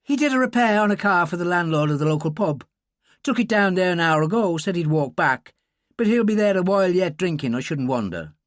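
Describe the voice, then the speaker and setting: A man voicing a fierce young Irish farm woman of about twenty, with an Irish accent and a sharp, defiant way of talking. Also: sits high, big pitch swings, fairly quick, no echo, studio quality, pitched above the performer's own voice. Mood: eager.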